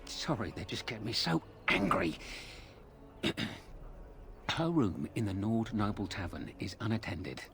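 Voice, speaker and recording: Cheeky, roguish thief with lively delivery. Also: male voice, on the low side, unhurried, creaky, long pauses, music in the background, heavily acted. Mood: conspiratorial. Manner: chatty, colloquial, sly salesman patter. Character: cheeky roguish male thieves' fence, ex-burglar with a conscience. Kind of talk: video game character dialogue.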